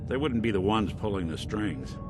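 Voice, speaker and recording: An actor with an American accent playing a world-weary, wry android private detective in a video game, in a hard-boiled noir delivery. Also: male voice, lowish voice, lively intonation, moderate pace, over loud music, lightly acted. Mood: probing, serious.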